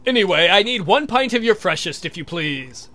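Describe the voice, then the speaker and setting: A man's voice: high-pitched, animated, moderate pace, pushed and bright. A man voicing a proud, touchy vampire count in a visual novel, with theatrical, wry delivery. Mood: insistent.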